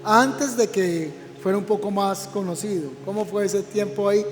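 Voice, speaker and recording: A man's voice: high-pitched, moderate pace, long reverb tail. A man, a pastor, interviewing a guest in a church program with an encouraging pastoral manner.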